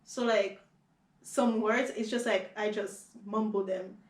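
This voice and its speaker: A young woman from Haiti speaks with a Haitian accent, her first language Creole. She talks in a lively, rambling way, full of fillers and restarts. Her voice sits in a middle register and carries some room echo. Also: medium pitch, slow pace, distant mic. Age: young adult. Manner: animated, many fillers and restarts. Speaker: guest. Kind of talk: casual conversation.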